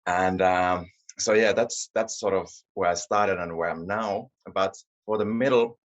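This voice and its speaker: Finnish man, 30s, very deep, modest interview guest, muffled lo-fi sound. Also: fairly even intonation, unhurried. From Finland. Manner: modest, repeats words while searching for them. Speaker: guest, performance coach. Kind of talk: interview answers. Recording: dull lo-fi audio.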